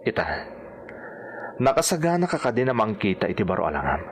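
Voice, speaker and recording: A man playing a confident, solicitous leading character with a persuasive, deliberate manner in a melodramatic radio drama. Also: fairly low pitch, sing-song, slight background noise. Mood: assured, persuasive. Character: confident, solicitous man guiding a devoted female accomplice. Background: background music.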